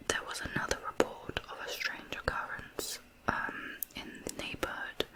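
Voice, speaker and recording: An ASMR host narrating true crime in a hushed, unvoiced, breathy whisper with deliberate phrasing. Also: low-quality recording. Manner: slow, deliberate, hushed storytelling.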